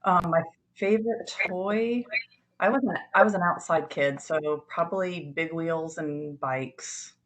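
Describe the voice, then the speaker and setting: A woman's voice: lowish voice, takes it slowly, rough recording. A woman introducing herself to a class in a casual way, breaking off and restarting sentences. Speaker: student.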